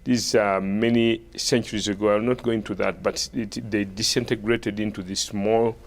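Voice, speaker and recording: Ugandan guy, middle-aged, deep and a bit gravelly, calm steady explaining. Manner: measured, frequent short affirmations. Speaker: bishop, guest. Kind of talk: conversational interview, recounting history.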